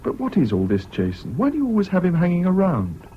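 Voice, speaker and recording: Courteous young English student, lightish expressive voice. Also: male voice, sits high, fast talker. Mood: concerned, questioning. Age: young adult. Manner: restrained, polite, questioning. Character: reserved, sceptical, courteous young male Cambridge student. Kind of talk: 1970s British radio drama acting. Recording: dull, hissy old radio recording.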